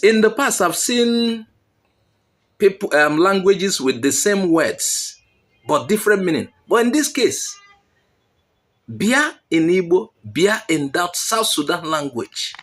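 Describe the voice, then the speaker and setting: A man's voice: sits high, fairly bright tone. A man, hosting a channel and giving earnest commentary straight to his viewers. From Nigeria. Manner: earnest, appealing directly to viewers. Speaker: channel host.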